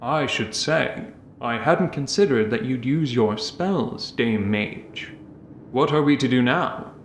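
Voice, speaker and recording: A man acts out an earnest, courteous dwarf for a radio play. He speaks in a measured, formal way at a middling pitch, in an echoey recording with faint noise. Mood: bemused. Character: courteous, earnest, restrained male dwarf in armor. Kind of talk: audio drama acting. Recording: roomy echo, faint noise.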